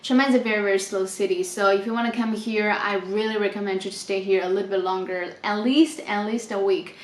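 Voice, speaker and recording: A woman's voice: medium pitch, steady tone, fast. Woman, 20s, native Chinese speaker from China, easygoing vlog Q&A in a roomy space. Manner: chatty, reads questions aloud then answers with laughs. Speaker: travel vlogger. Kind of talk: casual unscripted Q&A.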